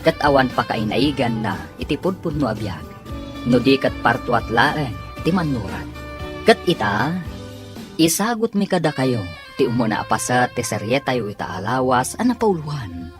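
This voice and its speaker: A man's voice: medium pitch, sing-song, moderate pace. A man with a Filipino accent reading a scripted introduction as narrator of a drama series. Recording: narration under loud music.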